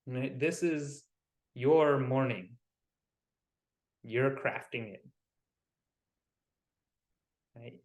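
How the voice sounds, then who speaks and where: A man leading a guided visualization as a wellness coach, soothing and unhurried, pausing between short phrases. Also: medium pitch, darkish tone. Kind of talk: guided meditation. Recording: roomy, echoing sound.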